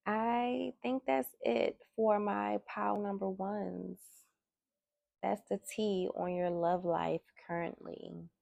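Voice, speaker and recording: Soft-spoken woman with a gravelly voice. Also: medium pitch, steady tone, no room sound, highs cut off. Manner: soft-spoken, slow and musing. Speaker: tarot reader. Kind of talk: soft-spoken tarot reading. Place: indoors at a table.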